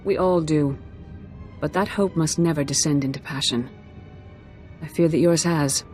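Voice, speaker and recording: Deep-voiced, composed Jedi council woman played by an actress. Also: normal speed, a little mellow, faint music behind, natural voice. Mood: calm, firm. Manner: formal, diplomatic, controlled. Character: composed, authoritative female Jedi council master. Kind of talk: cinematic video game acting.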